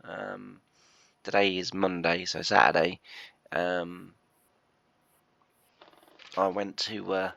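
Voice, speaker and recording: A man with a croaky, bunged-up voice from a chest cold, rambling through casual gameplay commentary with lots of hesitations. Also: low-pitched, fairly bright tone, no echo, muffled recording. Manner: rambling, hesitant, full of "uh" and restarts. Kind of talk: casual let's-play commentary.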